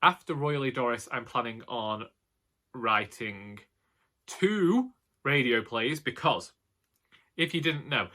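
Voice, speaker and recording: A man's voice: mid-range voice, sharp tone. A young man, an author, talking casually and wryly about his writing plans and questioning himself as he goes. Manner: musing, self-questioning, wry. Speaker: author and book vlogger. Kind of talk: casual reflective monologue.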